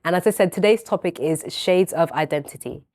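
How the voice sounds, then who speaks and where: A woman's voice: fairly low pitch, brisk, a little mellow. A teenage girl hosting a talk show in an upbeat, enthusiastic way, with an English accent that has touches of Northern Irish. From born in England, raised in Northern Ireland. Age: teens. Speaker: host.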